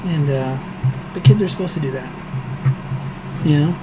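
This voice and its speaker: A man with a hoarse voice talks casually through a home cooking livestream, joking at his own expense and answering viewers' questions as he goes. A steady air conditioner hum sits clearly under his voice in the kitchen. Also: on the high side, moderate pace. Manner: chatty, self-deprecating, answers chat aloud while cooking. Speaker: streaming cooking show host.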